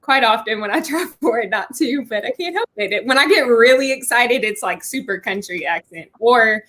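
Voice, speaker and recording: A woman's voice: a little high, animated, normal speed, fairly bright tone. A woman with a Texas accent, chatting as a guest in a casual online interview and laughing easily. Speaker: podcast guest.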